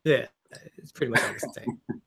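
A man co-hosting a comedy podcast, chatting in an animated, joking and sarcastic way. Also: moderate pace. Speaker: podcast co-host. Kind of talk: casual comedic chat.

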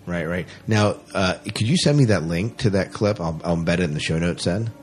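A man hosting a podcast in casual chat, speaking in a conversational, slightly halting and repetitive way. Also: low voice. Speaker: podcast host.

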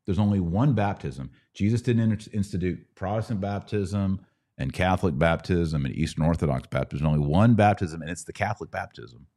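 A man's voice: low voice, fairly quick, mellow. A middle-aged American man hosting a conversational Q&A podcast, explaining things didactically and checking in with rhetorical questions. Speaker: podcast host answering religious questions. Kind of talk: conversational Q&A teaching.

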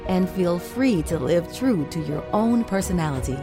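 A woman reading a scripted self-help promotion in a slow, soothing, persuasive way. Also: on the low side, animated. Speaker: ad announcer. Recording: music bed behind the voice.

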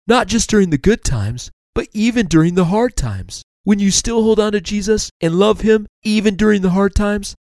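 A man voicing a gentle, encouraging turtle in a children's story, earnest and reassuring. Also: high voice, dry recording, studio quality, pitched above the performer's own voice.

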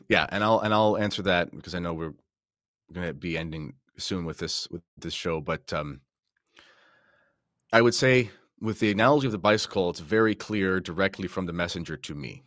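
A man's voice: deep voice, a bit bright, no echo, studio quality. A man talking as a podcast guest in a conversational religious discussion, making careful, qualified counterarguments.

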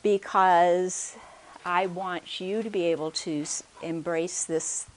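Quiet-voiced woman talking at a church workshop, halting, restarts a lot, mic in hand. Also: fairly low pitch, steady tone, rough recording. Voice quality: soft-spoken. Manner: hesitant, with many restarts and "okay?" check-ins. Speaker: workshop speaker. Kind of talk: conference workshop talk. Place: conference room. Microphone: handheld or stand microphone.

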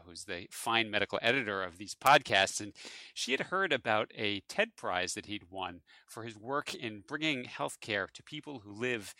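Low, gravelly-voiced podcast host. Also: male voice, bright, forceful tone, dry recording. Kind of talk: hosted interview.

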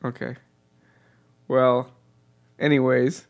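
A man's voice: mid-range voice, flat delivery, takes it slowly. A man in casual conversation, eagerly explaining things with lots of fillers. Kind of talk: casual chat over a delayed call.

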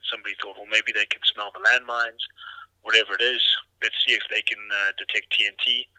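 A middle-aged man from Zimbabwe, telling his work history in a relaxed, rambling way with many fillers and restarts. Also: lowish voice, a little fast, no room sound, poor audio. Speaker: dog trainer, guest. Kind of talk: casual interview storytelling.

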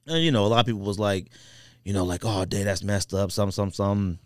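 A man's voice: fairly low pitch, steady tone, fairly quick, no echo, studio quality. An American man hosting a podcast in casual chat, with a slangy, opinionated way of talking. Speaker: sneaker podcast host.

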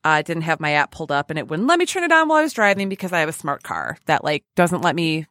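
A woman's voice: low voice, very animated, quick pace, a bit bright, no room sound, clean studio sound. A woman hosting a podcast in a chatty, informal and playful way. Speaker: podcast host. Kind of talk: casual chat.

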